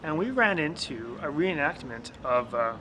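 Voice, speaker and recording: A man's voice: fairly high pitch, expressive, moderate pace, background noise. Upbeat man hosting a podcast. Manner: upbeat and joking, asks many questions. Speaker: podcast host. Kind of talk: casual outdoor podcast chat. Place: outdoors on campus steps.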